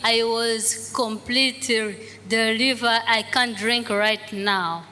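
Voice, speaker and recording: A woman interpreting her husband's testimony into simple, halting English in a large church hall. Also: fairly high pitch, steady tone, bright, forceful tone. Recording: echoey hall with faint noise.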